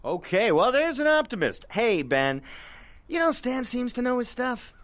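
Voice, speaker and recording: An actor playing a cocky, sardonic internet radio host in an audio drama, with teasing, theatrical patter. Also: male voice, very high voice, very animated, moderate pace, fairly bright tone, full character voice. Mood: wry, brisk.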